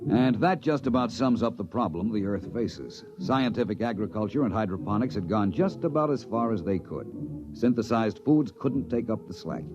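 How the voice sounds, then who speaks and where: An actor playing a weary, sardonic project chief who also narrates, in dry 1950s radio drama style. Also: male voice, fairly low pitch, animated, moderate pace, music in the background, close to an everyday voice. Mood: grave, wry. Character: weary sardonic middle-aged male space colony project chief. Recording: muffled vintage radio recording.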